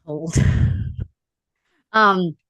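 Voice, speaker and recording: A woman's voice: fairly low pitch, very slow, sharp tone, no echo. A young American woman from upstate New York chatting casually and candidly as a podcast guest. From upstate New York, United States. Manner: informal, candid, frequent use of "like". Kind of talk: casual interview chat.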